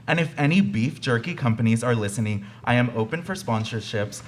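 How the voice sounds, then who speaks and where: A man's voice: mid-range voice, animated, brisk, a little hiss or hum, lightly acted. A young man acting as a self-important, aggrieved podcaster in a comedy radio play. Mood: smug. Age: young adult. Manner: pompous, aggrieved, self-promoting. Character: self-important, whiny male podcaster milking his victimhood.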